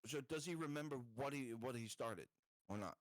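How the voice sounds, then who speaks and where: A man's voice: medium pitch, lively intonation, moderate pace, no echo. A man playing a tabletop game, talking casually and tentatively as he puts questions and plans to the group.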